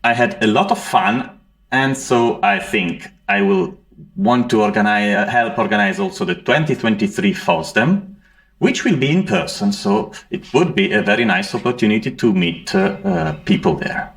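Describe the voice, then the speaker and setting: A man's voice: lowish voice, sing-song, moderate pace, a bit bright. A man from Italy with an Italian accent presenting at a conference, hesitant with many fillers. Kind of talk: conference talk.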